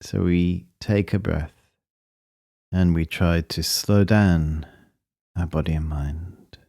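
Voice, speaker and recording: A man leading a guided meditation, speaking in a calm, measured and gently instructing manner. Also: low-pitched, gravelly, no echo, clean studio sound.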